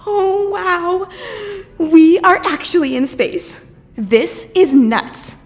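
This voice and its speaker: A woman's voice: very high-pitched, lively intonation, drawn-out words, soft background music, heavily acted. A woman voicing a defiant teenage girl hero with a snappy, indignant manner, in an audio drama.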